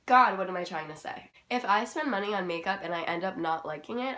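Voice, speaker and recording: A sleepy young woman with a slightly raspy, lowish voice talks through her makeup routine in her bedroom. She rambles casually, filling gaps with "like" and "um", sounding low on energy. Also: a bit bright. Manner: chatty, rambling, full of "like" and "um". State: tired, just woken up. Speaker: beauty vlogger. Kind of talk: casual makeup tutorial chat.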